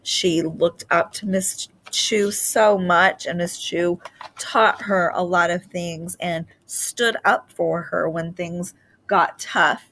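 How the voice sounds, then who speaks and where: A woman's voice: lowish voice, fairly even intonation, takes it slowly, a bit bright, a little hiss or hum. Woman reading a storybook aloud. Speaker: storybook reader. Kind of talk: read-aloud children's story narration.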